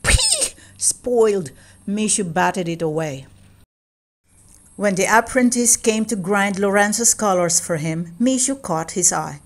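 A woman reads a children's story aloud at a slow, careful pace. Her narration is expressive and deliberate, with dramatic weight on key words. She speaks in a mid-range register. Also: medium pitch, slight background noise.

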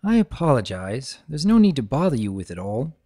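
A man's voice: medium pitch, big pitch swings, brisk, dark tone. A man voicing a nervous, bookish young pony mare who talks hesitantly. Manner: hesitant, fretful.

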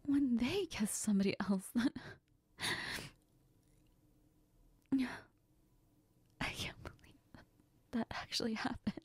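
A woman playing a heartbroken young woman in an intimate audio role-play, crying and confiding in a halting, breathy, often whispered voice. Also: on the high side, a little mellow, no echo. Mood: wistful, hurt. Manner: halting, sniffly, tearful. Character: heartbroken, tearful, apologetic young woman confiding in best friend.

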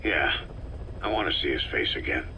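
A man acting a terse, gruff, war-weary middle-aged mercenary commander in a video game, wry and low-key. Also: lively intonation, normal speed, bright, forceful tone, a little hiss or hum, heavily acted, low-quality recording. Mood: resolved.

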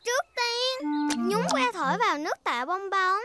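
A woman playing a cheerful, excitable little girl pig in a children's cartoon dub, with an eager, childlike manner. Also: extremely high pitch, moderate pace. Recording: music behind the voice.

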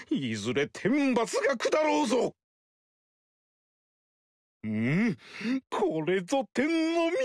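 A man's voice: extremely high pitch, no room sound, clean studio sound, exaggerated voice. An actor playing a fanatical older male sorcerer who bellows heaven-invoking battle cries in a game. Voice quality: bellowing, sermon-like proclamations. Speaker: game character.

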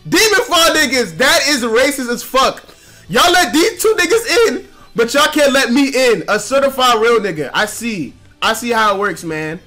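Young man, gaming YouTuber, lively pitch swings, bright forceful tone, slangy, profane, repetitive, faint music behind. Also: normal speed. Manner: excitable, profane, slangy, repeats phrases. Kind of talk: casual gameplay commentary with friends.

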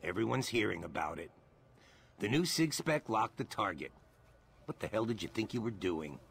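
Gravelly, defiant rebel scientist. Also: male voice, fairly low pitch, lively intonation, normal speed, fairly bright tone, slight background noise. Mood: accusing. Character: intense rebel scientist, defiant mentor to a young recruit. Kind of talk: dramatic video game dialogue.

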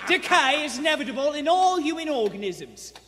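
Brash, high-pitched pitchman. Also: very animated, a little fast, a bit bright, slight background noise, heavily acted. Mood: grand sales pitch. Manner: showy patter, theatrical, bossy. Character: brash, pushy street salesman and bus conductor. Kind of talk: live radio play acting. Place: theater stage before a live audience. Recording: live theater hall, from stage.

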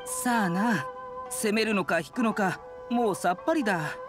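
A man's voice: sits high, normal speed, close to an everyday voice. Guy voicing worried tired servant in anime game, grumbly, music in the back. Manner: fretful, grumbling. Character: worried, weary household servant. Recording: music behind the voice.

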